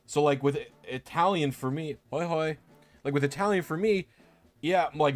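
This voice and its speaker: A young man chatting casually on a stream, rambling with many restarts and fillers. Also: mid-range voice. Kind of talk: casual chat.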